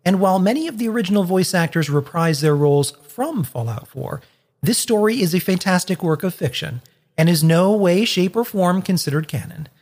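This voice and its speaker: A host giving a semi-scripted introduction in a husky voice, with dry, deadpan asides. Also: mid-range voice, brisk, in a large hall. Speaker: host introducing a charity radio play.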